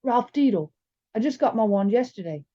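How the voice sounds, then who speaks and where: A woman puts on a big, bookish young boy from a storybook. He sounds earnest and eager to learn, in a mellow voice with slightly dull sound. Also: on the high side, expressive, normal speed, pitched above the performer's own voice. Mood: earnest, curious.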